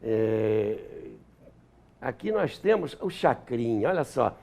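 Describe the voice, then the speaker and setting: A man's voice: medium pitch, sing-song, slow, vocal fry, mellow. An older man with a Brazilian accent, reminiscing as an interview guest and searching for words here and there. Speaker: interview guest, historian and author.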